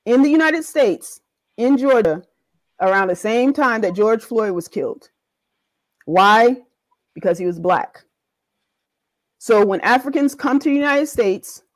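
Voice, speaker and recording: A woman's voice: fairly high pitch, no echo, rough recording. A woman speaking passionately in a discussion, stressing points by repeating them. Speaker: guest in a discussion.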